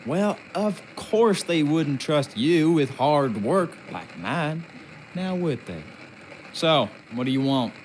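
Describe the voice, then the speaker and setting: An actor playing a gruff, sardonic ship's craftsman in a video game, taunting and bossy in a colloquial, condescending way. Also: fairly high pitch, very animated, takes it slowly, slight background noise. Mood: mocking, taunting. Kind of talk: video game character acting.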